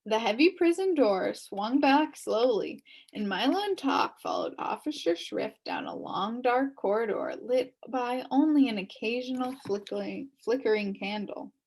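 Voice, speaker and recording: A woman reading a children's book aloud as an expressive storyteller. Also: fairly high pitch, measured pace, poor audio.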